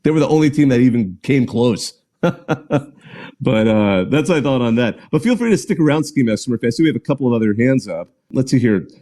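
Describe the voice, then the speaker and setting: Guy hosting a call-in show, warm dark voice, chatty and rambly. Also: mid-range voice, expressive, brisk. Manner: chatty, rambling with restarts and laughs. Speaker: podcast host. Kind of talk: casual call-in show chat.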